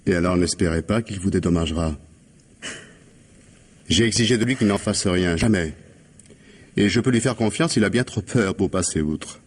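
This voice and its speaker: An implacable police investigator in a vintage French radio mystery. The voice is low and husky, and he speaks with deliberate, articulate authority, giving orders like someone who will not be moved. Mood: coldly triumphant. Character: stern, implacable male police investigator confronting a suspect.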